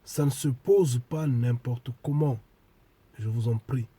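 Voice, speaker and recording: A man preaching a sermon to his followers while congested with a cold, speaking deliberately and emphatically and repeating key phrases. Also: medium pitch, warm tone, breathy edge, dry recording. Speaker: spiritual preacher.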